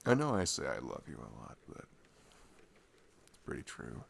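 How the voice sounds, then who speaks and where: A man playing an affectionate, reflective boyfriend in an intimate ASMR roleplay, speaking softly and tenderly with hesitant pauses. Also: rumbling low, wildly swooping pitch, creaky, husky. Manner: soft, hesitant, tender, pausing between phrases.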